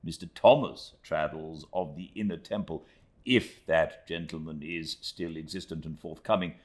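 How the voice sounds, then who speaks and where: A man voicing a pompous, florid, self-pitying middle-aged gentleman, reading out a letter with grand swells and theatrical drops in his voice. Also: extremely low pitch, moderate pace. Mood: pompous lament.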